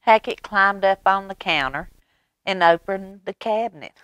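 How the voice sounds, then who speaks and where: A woman reading a picture book aloud at storytime for young children, animated, with chatty asides to her listeners. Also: medium pitch, moderate pace, smooth.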